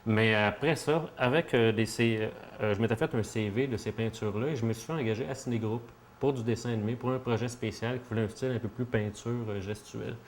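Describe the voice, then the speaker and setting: A man with a Québécois accent, a guest comic artist, answers interview questions in a relaxed, hesitant way with many fillers. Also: lowish voice, brisk, a little hiss or hum.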